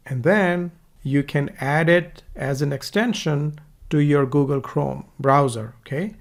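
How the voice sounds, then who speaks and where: A man's voice: on the high side, vocal fry. A man with an Indian accent teaching a screen-recorded course in a casual, instructive way, recorded on a USB desktop microphone. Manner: casual, instructive, dictates punctuation aloud.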